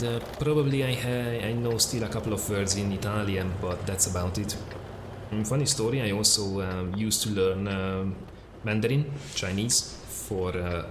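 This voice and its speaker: A man with a Hungarian accent, a native Hungarian speaker, chatting casually on a game live stream with plenty of fillers while answering viewers. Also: lowish voice. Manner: relaxed, chatty, many fillers, answers chat questions. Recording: echoey room with faint noise.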